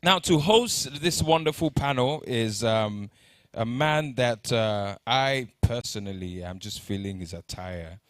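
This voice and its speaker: A man's voice: mid-range voice, lively intonation, measured pace, a bit bright, no room sound, clean studio sound. A man, introducing conference speakers from the stage, reading their bios and stumbling now and then on names. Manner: reads bios, stumbles on names, jokes lightly. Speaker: conference emcee.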